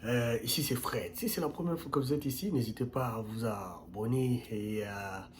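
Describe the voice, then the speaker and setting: A man's voice: moderate pace, a little hiss or hum. Earnest man giving emphatic advice. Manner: earnest and emphatic, repeats key phrases; pitch jumps up sharply on stressed words. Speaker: YouTube channel host. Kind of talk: casual advice vlog.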